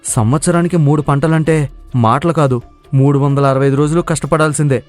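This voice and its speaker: A man's voice: a little high, fairly even intonation, a little fast, soft background music, close to an everyday voice. A man voicing an easygoing young villager in a cartoon moral story, questioning and sceptical in manner. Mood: doubtful. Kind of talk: dramatised moral story dialogue.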